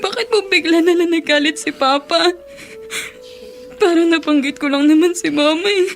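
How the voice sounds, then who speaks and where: An actress with a Filipino accent playing a hurt, pleading young daughter in a radio drama, sounding plaintive. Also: female voice, extremely high pitch, normal speed, faint music behind. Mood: tearful.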